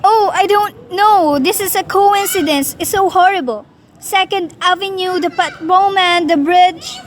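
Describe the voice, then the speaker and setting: A woman's voice: extremely high pitch, a little slow, a little hiss or hum. A woman playing an anxious, demanding bedridden wife in a radio play, fretful, pleading and imperious. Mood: distraught. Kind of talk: radio play dramatic acting.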